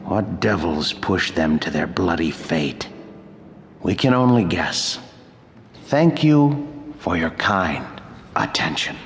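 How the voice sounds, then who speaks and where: A man's voice: sits low, animated, slow pace, long pauses, faint music behind, heavily acted. An actor playing a brooding, devoted wax museum curator in a radio drama, theatrical and intense, dwelling on his words. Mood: ominous, impassioned.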